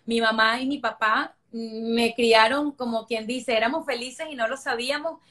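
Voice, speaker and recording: A woman's voice: a little high, fairly even intonation, normal speed, fairly bright tone. A Venezuelan woman in her forties, a guest speaking emphatically in a conversational interview. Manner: emphatic, repeats points for stress.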